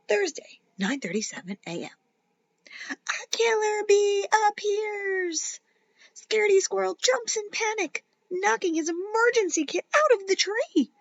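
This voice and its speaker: Female storybook reader, teacher style: swooping, theatrical delivery with deliberate pauses, dry and slightly muffled recording. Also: very high voice, dry recording. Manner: dramatic, playful, with emphatic pauses and whispers. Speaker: teacher reading a children's picture book aloud. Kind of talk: expressive children's storybook read-aloud.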